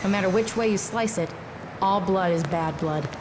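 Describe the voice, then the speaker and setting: An actress playing a hard-drinking, wry female private eye, world-weary and deadpan, in a noir audio drama. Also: medium pitch, moderate pace, noisy background, close to an everyday voice. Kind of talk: noir first-person narration and drama.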